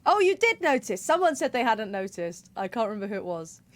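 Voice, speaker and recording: A woman casually chatting and laughing while playing a game on stream, in a relaxed, playful way. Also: fairly high pitch, a little fast. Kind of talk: casual gameplay commentary.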